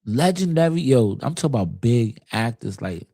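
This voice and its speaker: A man's voice: medium pitch, sing-song, moderate pace, warm tone, dry recording, studio quality. A man giving casual, emphatic commentary, repeating his points in slangy street talk. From United States.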